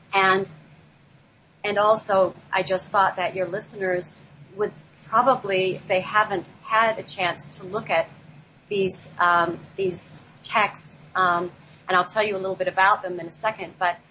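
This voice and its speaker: A woman guest on a talk show, speaking off the cuff in a halting way as she searches for her words. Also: mid-range voice, pushed and bright, a little hiss or hum, poor audio. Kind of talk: unscripted talk introducing a play.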